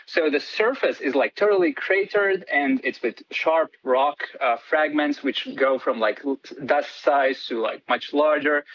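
A man's voice: a little high, fairly bright tone, no echo, highs cut off. A man from Latvia, a PhD student guest on a radio interview, talking hesitantly with many fillers and restarts.

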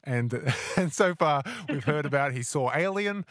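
Brief: Australian breakfast radio host, relaxed chatty delivery, dry close studio mic. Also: male voice, a little high, unhurried, no room sound. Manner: easygoing, chatty questions with stumbles. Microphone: studio microphone.

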